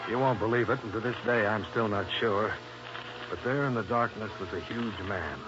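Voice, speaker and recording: An actor playing a wry, world-weary investigator, narrating a 1950s radio detective drama in a dry, hard-boiled way. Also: male voice, on the low side, moderate pace, loud music behind the voice, lightly acted. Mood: grim. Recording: muffled old radio broadcast recording.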